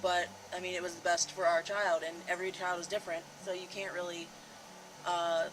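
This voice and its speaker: A woman in her twenties chatting casually in a halting way, seated indoors among a small group. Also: on the low side, little pitch movement. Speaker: co-host. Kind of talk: casual chat. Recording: noisy room with ambient hum.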